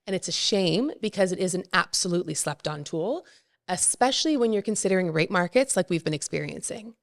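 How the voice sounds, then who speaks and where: Woman guest expert, low pitch, calm explanatory podcast talk. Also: brisk, vocal fry. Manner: explains plainly, with frequent fillers. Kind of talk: casual podcast interview.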